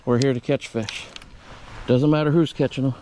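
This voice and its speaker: A man's voice: medium pitch, fairly even intonation, normal speed, mellow, a little hiss or hum, rough recording. A man hosting a fishing vlog, talking casually and folksily to viewers from an open boat on a lake. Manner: folksy, addresses viewers as y'all and guys. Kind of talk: casual chat while fishing, talking to camera.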